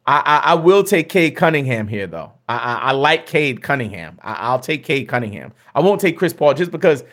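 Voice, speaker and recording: A young man, slightly hoarse, chatting casually with viewers as he hosts a fantasy basketball mock draft, often repeating himself. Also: mid-range voice, big pitch swings, moderate pace, fairly bright tone.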